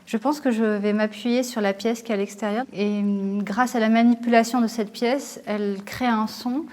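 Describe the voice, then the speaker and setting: A woman's voice: fairly high pitch, fairly even intonation, moderate pace, a little mellow. Thoughtful woman with Italian as her first language. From Italy. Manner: thoughtful, searching for words with hesitations. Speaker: visual artist. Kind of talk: explaining her exhibition to camera.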